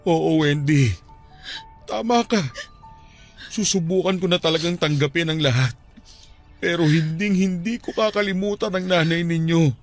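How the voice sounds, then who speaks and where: An actor playing a tender, heartbroken widowed father in an emotional radio drama, speaking haltingly. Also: a little high, natural voice. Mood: resigned. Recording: music under the voice. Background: music.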